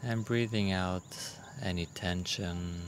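A low-pitched man guides a meditation very slowly and gently. He leaves long pauses between short, soothing instructions. A faint chirr of crickets and insects runs behind his voice. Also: fairly even intonation, a little hiss or hum.

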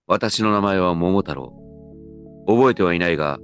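An actor playing an earnest, dignified company president in a comedic radio drama, with pompous, measured executive speech. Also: male voice, low-pitched, fairly bright tone, soft background music. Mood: reflective.

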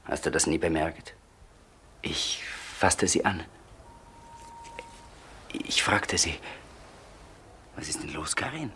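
An actor playing a tormented man confessing in a crime radio play, haltingly, often dropping toward a whisper. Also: a bass voice, animated, pushed and bright, heavily acted. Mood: halting, subdued. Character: tormented man confessing a killing to his brother. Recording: slightly noisy old radio play recording.

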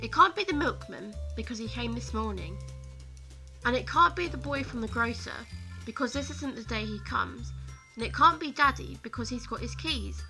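A woman's voice: fairly high pitch, lively intonation, normal speed, bright, forceful tone. A woman voicing a polite, hospitable mother in a children's storybook, speaking expressively. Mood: puzzled. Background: music.

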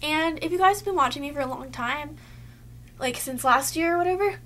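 Young woman talking into a webcam, high voice, sick and kinda losing it, lots of "like". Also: fairly quick, fairly bright tone, a little hiss or hum. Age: young adult. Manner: chatty, lots of "like" fillers. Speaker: beauty vlogger. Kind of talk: casual haul chat to camera. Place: cluttered bedroom, indoors.

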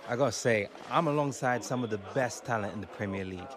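A young man acted by a man plays a modest, easygoing footballer in a sports game story. His mid-pitched voice sits over clear background ambience. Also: fast, background noise, natural voice. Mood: humble, pleased. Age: young adult. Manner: humble, easygoing. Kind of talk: video game cutscene dialogue.

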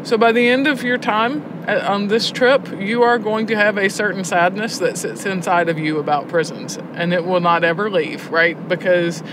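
A woman talks candidly and reflectively, thoughtful and deliberate, pausing as she goes. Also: mid-range voice, steady tone. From Alabama, United States. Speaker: prison poetry teacher and program head. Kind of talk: candid, reflective interview talk while driving. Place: inside a moving car. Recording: clear vehicle and road noise.

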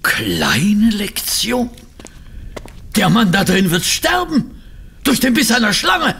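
An actor playing a flustered, easily shocked gentleman sidekick in an audio drama, stammering and indignant, with a raspy voice. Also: high-pitched, wildly swooping pitch, takes it slowly, slight background noise, exaggerated voice. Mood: alarmed, indignant. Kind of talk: German audio drama acting.

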